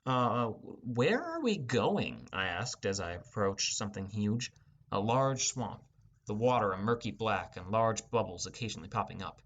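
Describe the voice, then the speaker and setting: A man's voice: on the low side, normal speed, fairly bright tone, highs cut off. A young man reading a first-person fantasy audiobook as a scripted narration.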